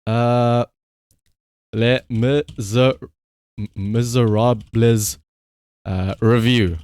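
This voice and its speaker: A man's voice: mid-range voice, steady tone, drawn-out words, darkish tone, smooth, no echo. A man giving casual livestream commentary in a rambling, conversational, emphatic way, as the host talking to viewers.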